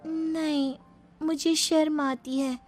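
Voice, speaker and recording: Coy young bride played by a woman, high and artless, storybook drama over music. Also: flat delivery, takes it slowly, darkish tone. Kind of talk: acted moral story narration.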